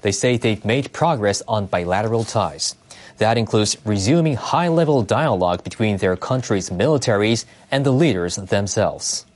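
Male newsreader, lowish voice, scripted bulletin delivery. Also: lively intonation, normal speed. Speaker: news reader. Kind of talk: scripted news narration.

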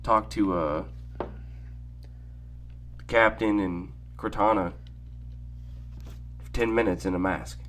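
A man's voice: fairly low pitch, takes it slowly, long pauses, fairly bright tone, a little hiss or hum. A man chatting casually as a podcast guest, slangy and repeating phrases for emphasis. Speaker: podcast guest, content creator. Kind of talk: casual conversational chat.

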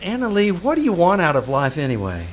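Old radio comedy lead, a male actor: high-pitched, excitable, sardonic, big swings in intonation. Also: fairly quick, a little hiss or hum. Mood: tender. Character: exasperated, wisecracking man pestered by a melodramatic actress.

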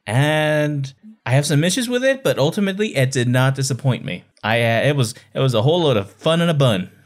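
A man's voice: medium pitch, animated, a little fast. A man co-hosting a podcast in a casual book chat, playful and emphatic, repeating words for effect. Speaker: podcast co-host.

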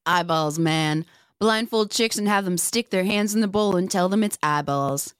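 A woman acts out a crass young frat boy. The voice is on the low side, boastful and casual. It comes from a comedic script read. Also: normal speed, clean tone, no room sound, clean studio sound, lightly acted.

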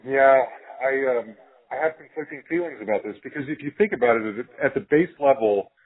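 Husky man hosting, slightly lo-fi. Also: medium pitch, expressive, fairly quick. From Salt Lake City, United States. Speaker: podcast host interviewer. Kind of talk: casual conversational interview.

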